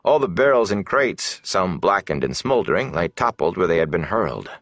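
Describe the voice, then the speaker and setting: A man's voice: sits low, big pitch swings, normal speed, fairly bright tone, no room sound, clean studio sound, soft background music. A man reading scripted fantasy narration dramatically as an audiobook narrator.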